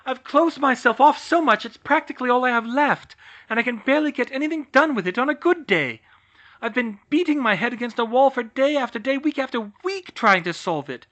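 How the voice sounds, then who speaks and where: Brief: an overworked young witch, loud, high and strained, swinging wildly in pitch. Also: animated, fairly quick. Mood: frantic. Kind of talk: audiobook reading of fan fiction. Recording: slightly muffled recording.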